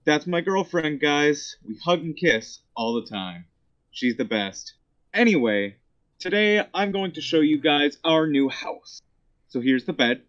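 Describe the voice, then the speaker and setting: A man's voice: fairly high pitch, expressive, measured pace, fairly bright tone. A smarmy, cooing man talking and presenting casually over a lo-fi voice call. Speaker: caller. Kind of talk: casual call talk and vlog-style presenting. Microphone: voice chat call. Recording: dull lo-fi voice call.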